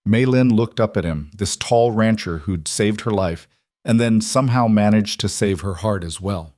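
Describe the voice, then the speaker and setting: A man narrating a scripted story in a measured, even way. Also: on the low side, no room sound, clean studio sound.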